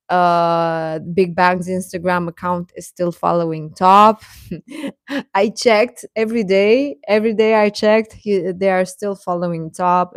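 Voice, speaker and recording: Woman livestreamer with unhurried, halting delivery. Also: lowish voice, dry recording, clean studio sound. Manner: hesitant, rambling, stop-and-start delivery. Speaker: livestream host. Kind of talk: casual livestream chat with viewers.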